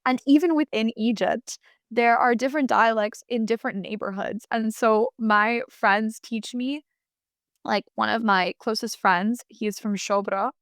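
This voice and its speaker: A woman in her twenties from the United States talks through her answers as an interview guest. She is thoughtful and leans on fillers and restarts. Her voice sits at a middling pitch, and the recording is close and dry with no room sound. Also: a bit bright, no room sound. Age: 20s.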